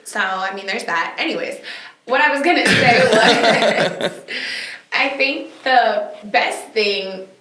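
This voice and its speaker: A woman hosting a casual chat, with a bright, forceful voice pitched a touch high. She speaks in an animated, emphatic way and drops quick asides. The room echoes noticeably around her. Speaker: podcast host. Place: recorded on location, indoors.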